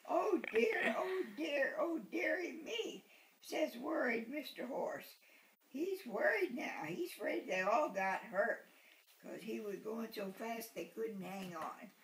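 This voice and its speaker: Elderly woman, high, lilting storytime voice. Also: lively intonation. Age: 80s. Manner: playful, repeating words slowly for a small child. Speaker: great-grandmother reading to a baby. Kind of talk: animated picture-book read-aloud with asides. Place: living room sofa, indoors. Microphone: handheld camera a short distance away. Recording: roomy home recording with background noise.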